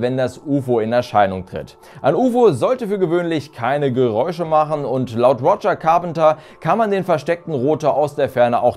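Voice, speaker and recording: Animated, higher-pitched man reviewing. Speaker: reviewer, channel host. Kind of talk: scripted review narration. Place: small furnished room indoors.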